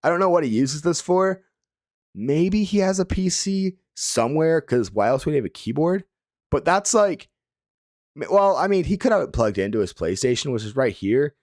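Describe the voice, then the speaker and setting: A young man with a slightly hoarse voice, casually reviewing viewers' gaming setups in a laid-back way, full of fillers. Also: fairly high pitch, expressive, normal speed, a little mellow, no echo, studio quality. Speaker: gaming video creator.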